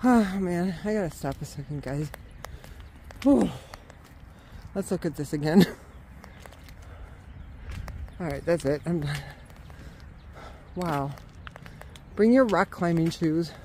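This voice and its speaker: A woman's voice: fairly low pitch, expressive, takes it slowly, pauses often, warm tone, a little hiss or hum. A woman walking an outdoor wooded trail and filming with a handheld phone, talking casually and exclaiming often, out of breath from the climb. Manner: chatty, exclaims often, laughs. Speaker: vlogger.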